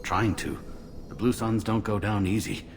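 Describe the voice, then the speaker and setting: Low-voiced, grim slum survivor played by a man. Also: moderate pace, close to an everyday voice. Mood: grim, informative. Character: wary, weary human man sheltering in quarantined slums. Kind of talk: video game dialogue acting. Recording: music playing behind the voice.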